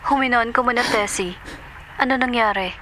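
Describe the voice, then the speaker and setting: A woman with a Filipino accent acting a concerned, steady friend giving advice in an audio drama. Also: on the high side, moderate pace, slight background noise. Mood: grave. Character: concerned, steady female confidante advising a friend.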